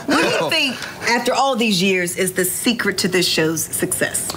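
A woman in her thirties co-hosts a morning TV show with cheerful energy and a pitch that jumps around. Her tone is bright and forceful, recorded on a lapel mic with faint studio hiss. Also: moderate pace. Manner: cheerful, lively. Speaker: TV co-host. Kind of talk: morning TV hosting. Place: TV studio set.

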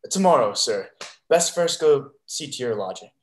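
A young man playing a devoted, wary sea fighter, earnest and halting, in a Shakespeare reading over a video call. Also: mid-range voice, expressive, lightly acted. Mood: wary, caring. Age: young adult. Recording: echoey bedroom video call.

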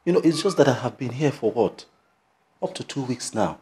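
A man playing a devoted, earnest grown son in a melodrama, speaking in halting, repetitive phrases. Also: mid-range voice, expressive, dark tone, lightly acted. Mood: hesitant, pleading. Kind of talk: melodramatic screen acting. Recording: clear background noise, room ambience.

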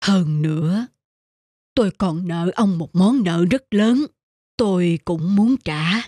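Slow, earnest old village man. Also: lowish voice, mellow. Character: conscientious, gentle elderly villager, an old man. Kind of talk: animated folk-tale voice acting. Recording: background music under the voice.

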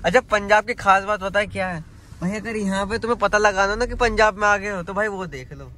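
A man's voice: high voice, brisk, sharp tone, slight background noise. A young man chatting casually to viewers during a night road trip, filmed on a phone inside his car. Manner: chatty, addresses viewers as bhai. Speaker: car vlogger.

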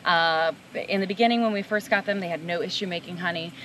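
A middle-aged woman, a mixologist, chatting excitedly outdoors on a farm, recorded by a far camera. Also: on the low side, quick pace, a little hiss or hum. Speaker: mixologist and co-host. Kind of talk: casual chat.

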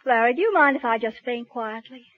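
A woman's voice: a little high, expressive, brisk, lightly acted. An actress in 1940s radio comedy playing a cool, sardonic wife who spars with her husband in dry, teasing sarcasm. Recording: muffled 1940s radio broadcast recording.

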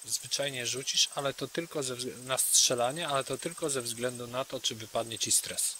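A man with a bright, forceful voice acts as game master, describing scenes and questioning players directly. His delivery is conversational, over clear hiss and insect-like background sounds. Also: mid-range voice, steady tone, normal speed. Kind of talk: improvised tabletop game narration.

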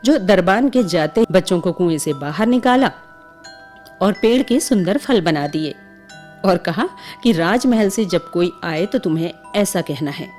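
A woman's voice: fairly low pitch, lively intonation, measured pace, a little mellow. A woman narrating a scripted bedtime story for children as the storyteller. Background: music with chimes.

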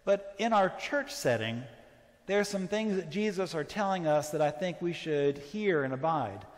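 High-voiced man preaching in a reverberant church. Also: lively intonation, normal speed. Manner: conversational, standing and gesturing as he preaches. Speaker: priest. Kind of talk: sermon. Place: large church sanctuary. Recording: church reverb from a fixed camera.

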